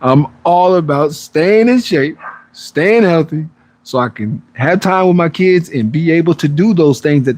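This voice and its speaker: A man in his forties hosting a fitness livestream, casually chatting through viewer questions in a laid-back, rambling way. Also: a little high. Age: 40s. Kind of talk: casual live-stream chat answering viewer comments.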